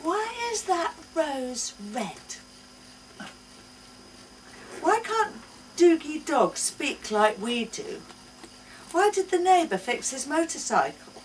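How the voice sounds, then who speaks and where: A woman's voice: sits high, sing-song, slow, pauses often, pitched above the performer's own voice, exaggerated voice. A woman voicing an inquisitive, insistent, chirpy little daughter in a short story read aloud. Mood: curious, insistent. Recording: distant mic, some room noise.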